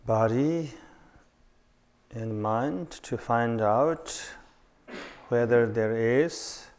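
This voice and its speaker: A man leading a guided meditation calmly and without hurry, in short phrases with long pauses. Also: medium pitch, clear, resonant tone.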